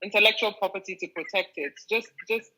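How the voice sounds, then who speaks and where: A woman's voice: mid-range voice, brisk, pushed and bright, poor audio. A woman host with a Yoruba-inflected Nigerian English accent, chatting casually over a video call, laughing easily and making playful asides. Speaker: interview host. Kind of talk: casual interview chat.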